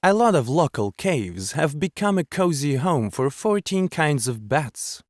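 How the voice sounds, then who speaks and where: A man reading scripted narration in a measured, clearly enunciated documentary manner. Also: a little high, very animated, dry recording, clean studio sound. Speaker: voice actor.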